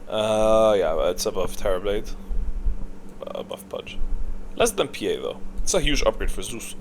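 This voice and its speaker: A man's voice: lowish voice, very animated, normal speed. A man giving casual, off-the-cuff gaming commentary as a video creator, blunt and opinionated in slangy wording. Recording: noticeable background noise.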